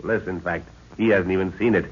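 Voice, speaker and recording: An actor playing a seasoned, calm and authoritative jungle expedition leader in a 1930s radio adventure serial. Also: male voice, low voice, close to an everyday voice. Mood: grim, measured. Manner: authoritative, deliberate, clipped orders. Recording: scratchy, muffled 1930s radio recording.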